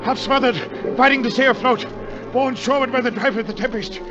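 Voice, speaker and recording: An actor playing an educated, cautious gentleman adventurer who narrates his expedition in a measured, formal 1940s radio style. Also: very high-pitched, fairly even intonation. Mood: dramatic. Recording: muffled 1940s radio broadcast, music behind.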